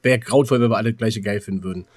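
A man's voice: on the low side, fast, no echo, studio quality. A middle-aged German man in casual podcast banter as co-host, teasing and repeating himself as he looks for words.

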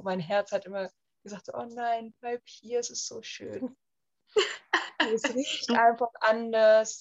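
A woman from Germany in her thirties, chatting casually as an interview guest with lots of fillers. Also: mid-range voice. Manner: chatty, many fillers, lively retelling. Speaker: guest, emigrant. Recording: slightly dull lo-fi sound.

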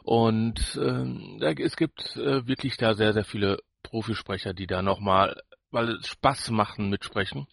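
A man co-hosting a podcast in casual chat, husky and creaky, rambling and searching for words. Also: on the low side, measured pace, fairly bright tone, no room sound. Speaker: podcast co-host.

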